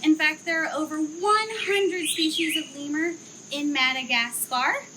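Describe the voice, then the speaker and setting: A young woman who works at a zoo reads a children's picture book aloud outdoors, in an expressive storytelling manner. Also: high voice, noisy background. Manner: slow, expressive storytelling, livelier chat afterward. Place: outdoor zoo enclosure with trees.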